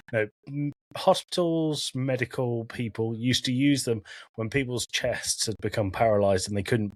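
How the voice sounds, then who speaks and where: A man's voice: medium pitch, no echo. Guy hosting a casual podcast, jokey, sweary, mid voice, really dry recording. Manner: jokey asides, profane. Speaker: podcast host. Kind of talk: informal film and game discussion, recapping from notes.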